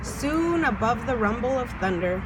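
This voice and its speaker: A woman reading a children's folktale aloud in an expressive storytelling way, putting questions to the listener. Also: on the high side, moderate pace, background noise. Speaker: storybook reader, a mother.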